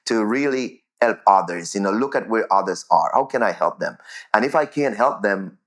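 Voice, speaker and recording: A man's voice: fairly low pitch, animated, normal speed. A man with a Jamaican accent answering interview questions conversationally, repeating words as he goes and often checking in with "right?".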